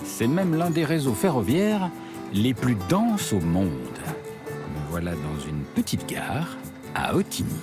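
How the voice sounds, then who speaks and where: A man's voice: medium pitch, sing-song, slow pace. Man narrating over guitar music. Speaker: travel show narrator.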